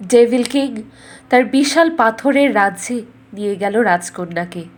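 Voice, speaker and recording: Woman telling a fairytale, highish voice, measured dramatic bedtime story reading. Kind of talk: scripted fairy tale narration.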